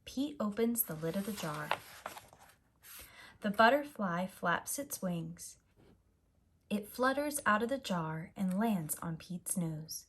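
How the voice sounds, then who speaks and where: A woman reading a picture book aloud to young children in an expressive, deliberate manner as a storyteller. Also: mid-range voice, slightly rough. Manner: slow, expressive, simple deliberate phrasing. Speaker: storyteller, preschool teacher.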